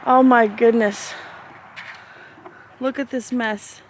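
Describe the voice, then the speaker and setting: A woman homesteader filming herself outdoors on a freezing farm, chatting in a loose, rambling way. She speaks slowly and her voice is a bit hoarse. A faint hum of outdoor noise sits behind her. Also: on the high side, fairly even intonation. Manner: chatty, rambling, talks to animals. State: slightly hoarse. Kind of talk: casual vlog chat.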